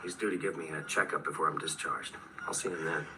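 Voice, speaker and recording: An actor playing a thoughtful, wary man who narrates and speaks in a horror radio drama, in a measured, sober way. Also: rumbling low, fairly even intonation, creaky, bright, forceful tone, exaggerated voice. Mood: serious, hesitant. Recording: distant replayed radio drama, faint hiss.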